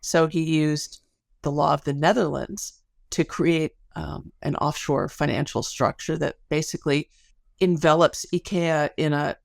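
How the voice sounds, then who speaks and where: A woman's voice: sits low, fairly even intonation, measured pace, gravelly, no echo. A middle-aged woman speaking as an academic guest in a podcast interview, explaining things articulately through stories and analogies.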